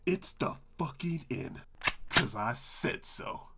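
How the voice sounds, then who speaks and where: Man acting a sarcastic, fed-up pony hero, wildly swinging pitch. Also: sing-song, slow pace, vocal fry, heavy background noise, heavily acted. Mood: exasperated, furious. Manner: sarcastic, exasperated. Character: fed-up sarcastic male cartoon pony, foul-mouthed reluctant hero. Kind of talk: comedic audio drama acting.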